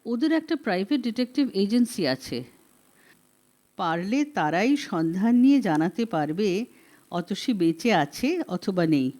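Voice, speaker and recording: A woman voicing a grave, devoted woman in an audiobook, speaking softly and persuasively to an old friend. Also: mid-range voice. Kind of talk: audiobook character reading.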